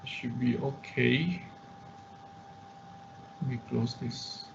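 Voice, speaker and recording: Man, online instructor, creaky voice, halting think-aloud software demo, dull lo-fi sound, faint noise. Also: medium pitch. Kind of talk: live software demonstration for an online class. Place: indoors, online class at a computer. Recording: muffled online class audio with background noise.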